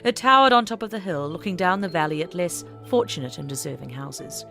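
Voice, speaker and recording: A woman's voice: lowish voice, a little fast, over music. A woman reading a scripted story aloud as an audiobook narrator.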